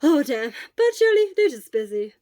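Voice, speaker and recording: Woman voicing a loud, excitable teenage boy, high-pitched. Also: normal speed, dry recording, studio quality. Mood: concerned. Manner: exclamatory, whiny, theatrical. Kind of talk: acted audiobook dialogue.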